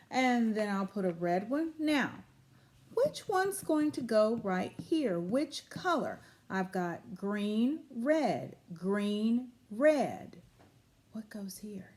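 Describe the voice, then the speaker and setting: Slow-paced woman teaching young children. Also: mid-range voice, a little mellow. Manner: instructional, repetitive, question-and-prompt delivery for young children. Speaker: early grade school teacher. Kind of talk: children's video lesson demonstration.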